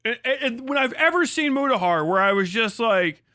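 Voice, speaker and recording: A man's voice: very high-pitched, expressive, fairly quick, bright, forceful tone, no room sound, clean studio sound. A man hosting a livestream, delivering a profane, mocking commentary rant and laughing often. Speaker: livestream host.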